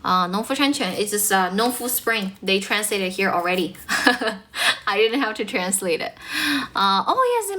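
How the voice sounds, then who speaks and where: Bright-voiced young Chinese woman streaming. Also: on the high side. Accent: Chinese, North American-tinged English. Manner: chatty, fast-talking, laughs often, mixes in Chinese. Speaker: English-speaking livestream host. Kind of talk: casual livestream chat with viewers.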